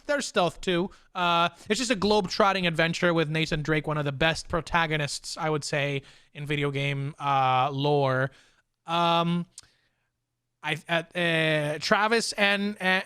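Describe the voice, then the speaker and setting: A man's voice: on the high side, pushed and bright, smooth, no room sound, studio quality. A young man hosting a casual pop culture discussion, talking enthusiastically in informal, looping, hesitant phrases. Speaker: podcast host.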